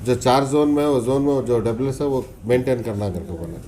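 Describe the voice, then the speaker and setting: A man's voice: mid-range voice, brisk, warm tone. A man making a press statement as a spokesman, point by point, with English terms mixed in. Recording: faintly noisy press meet recording.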